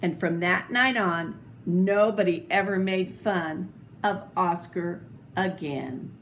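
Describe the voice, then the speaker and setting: Older lady reading a kids book out loud, takes her time, noticeable background noise. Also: fairly low pitch. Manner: slow, deliberate, theatrical phrasing. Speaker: storyteller reading picture books aloud. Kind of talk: expressive children's storybook read-aloud with asides. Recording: clearly noisy home video recording.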